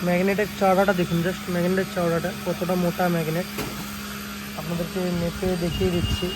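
A man's voice: high-pitched, flat delivery, quick pace. A man with a West Bengal Bengali accent casually presenting products in a vlog, with emphatic, repetitive sales talk and frequent check-in tags, recorded on a phone. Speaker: speaker repair shop owner and video host. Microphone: phone camera. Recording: noisy, engine-like hum and hiss.

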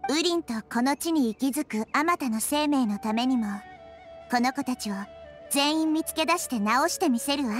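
A woman's voice: high-pitched, normal speed, close to an everyday voice. A woman voicing a kind, wise little nature goddess in an anime game, girlish yet composed, with refined feminine phrasing. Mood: compassionate, resolute. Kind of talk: Japanese anime game voice acting. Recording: game music behind.

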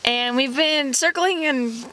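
A woman in her thirties, an outdoor vlogger, chatting expressively from inside a car while waiting out a storm. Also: high-pitched. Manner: chatty and expressive, quick to laugh. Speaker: outdoor vlog co-host. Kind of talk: casual vlog chat. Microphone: dashboard camera close to her face. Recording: noticeable rain and car noise.

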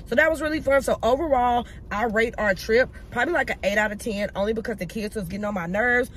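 A woman's voice: a little high, quick pace. Young woman, lifestyle vlogger, easy talkative delivery, slight background hum. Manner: chatty, addresses viewers as y'all. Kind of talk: casual chat to camera. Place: home, then shopping in a store.

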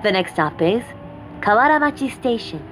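ゆっくり話す女性の英語車内放送. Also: female voice, a little high, animated, a bit bright, low-quality recording. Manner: deliberate, formal. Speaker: English-language subway announcer. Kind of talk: scripted next-stop announcement. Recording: in-car speaker recording with train noise. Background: running subway train noise.

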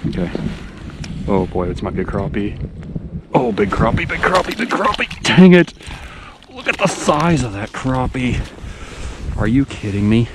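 A man in his forties giving casual running commentary while ice fishing outdoors on a frozen pond, his voice jumping up with excitement when fish bite, recorded on a body-worn action camera. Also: medium pitch, normal speed. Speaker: fishing vlogger. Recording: loud wind noise on the mic.

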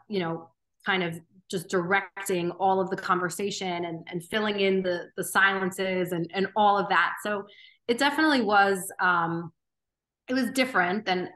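Woman, 30s, interview guest; bright tone, earnest, unhurried, thinking aloud. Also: medium pitch. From Romania. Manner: earnest, thoughtful, frequent ums and restarts. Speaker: podcast guest. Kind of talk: reflective interview conversation.

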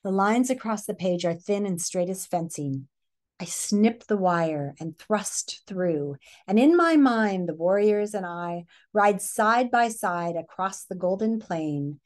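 A middle-aged woman with an American accent reads a picture book aloud, expressively, pausing to put questions to young listeners like a teacher. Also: on the low side, animated, darkish tone. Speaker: read-aloud presenter. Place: living room, indoors.

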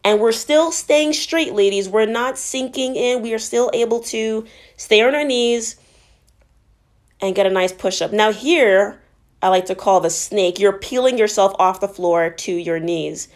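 A woman's voice: medium pitch, a little slow. Woman fitness instructor guiding a workout. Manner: encouraging, explanatory.

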